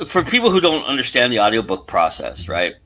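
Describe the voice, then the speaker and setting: A man's voice: medium pitch, big pitch swings, fairly quick, sharp tone. A man hosting a casual interview, asking wordy questions as he thinks aloud. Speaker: show host and interviewer.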